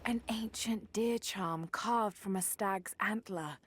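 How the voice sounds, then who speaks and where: Breathy, musing young adventurer-archaeologist. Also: female voice, mid-range voice, slow pace, dry recording. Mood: musing, curious. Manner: hushed, musing to herself.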